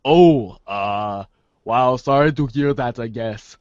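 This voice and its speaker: A man playing a laid-back, too-cool teenage boy with a slangy, halting, nonchalant drawl in a fan audio drama. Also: mid-range voice, a little mellow, no echo, close to an everyday voice. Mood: casual, hesitant. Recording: close, dull lo-fi sound.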